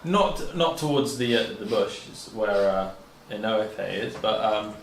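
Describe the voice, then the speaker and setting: A man's voice: lowish voice, lively intonation, unhurried. A man playing a tabletop game, chatting informally and jokingly as he takes his turns. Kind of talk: casual game chat. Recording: echoey, distant, noisy room recording.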